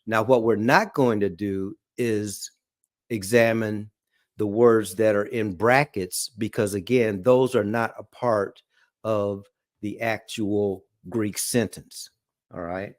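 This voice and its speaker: A man's voice: lowish voice, steady tone, dry recording, studio quality. A man teaching a Bible study lesson, reading scripture aloud and checking in often with "okay?" and "all right?". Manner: reads scripture aloud slowly.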